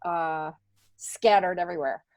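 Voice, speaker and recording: A woman's voice: lowish voice, a little slow, darkish tone, dry recording. Chatty middle-aged American woman, close dry sound. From upstate New York, United States. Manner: chatty, laughs readily. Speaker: podcast guest, stand-up comedian and writer. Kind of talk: casual interview chat.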